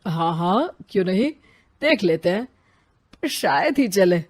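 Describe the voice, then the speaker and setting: A woman's voice: medium pitch, very animated, unhurried, darkish tone, slightly rough, pitched below the performer's own voice. A woman voicing an earnest, sentimental married man, the elder brother in a children's story.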